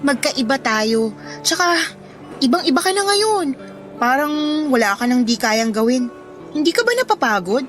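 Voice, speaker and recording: A high-voiced, modest Filipino schoolgirl. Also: sing-song, moderate pace, pauses often, a bit bright. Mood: hesitant. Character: modest, self-doubting teenage schoolgirl, loyal best friend. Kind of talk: Filipino radio drama acting. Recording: music under the dialogue.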